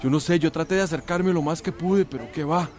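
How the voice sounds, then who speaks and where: An actor playing a frightened rural villager in a radio drama, urgent and colloquial. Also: male voice, on the high side, brisk, music in the background, lightly acted. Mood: shaken, alarmed. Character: frightened young rural villager bringing alarming news.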